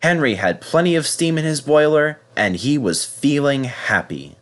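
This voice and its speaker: A man reading a children's story aloud in an animated, scripted narration style. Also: a little high, normal speed. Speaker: storybook reader.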